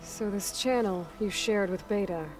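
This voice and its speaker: An actress playing a guarded, blunt and skeptical young warrior heroine in a video game, with a direct, probing manner. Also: female voice, medium pitch, unhurried, darkish tone, faint music behind, lightly acted. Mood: skeptical, accusing. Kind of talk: video game cutscene acting.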